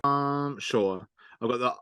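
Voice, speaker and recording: A man's voice: medium pitch, slow, pushed and bright, a little hiss or hum. A man in casual conversation, amused and stumbling a little over his words. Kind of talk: casual chat.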